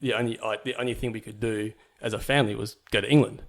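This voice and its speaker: A man's voice: on the low side, steady tone, fast, creaky. A young man from New Zealand, a podcast guest, telling his life story in a casual, rambling way with many fillers and restarts.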